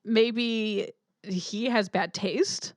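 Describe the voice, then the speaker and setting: Woman, podcast co-host, skeptical wry manner, mid pitch, dry close sound. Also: fairly even intonation, drawn-out words, dry recording. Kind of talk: casual movie-review chat.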